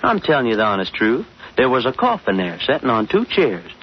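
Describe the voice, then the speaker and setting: An actor playing a brash, slangy, eager tough-guy sidekick with a husky voice in 1940s radio drama style. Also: mid-range voice, wildly swooping pitch, fairly quick, exaggerated voice. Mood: excited storytelling. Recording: muffled old radio recording.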